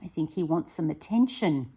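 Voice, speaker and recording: A woman, a primary teacher reading a picture book aloud to young children, expressive and encouraging, with chatty questions to her listeners. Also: sits low, normal speed, breathy edge.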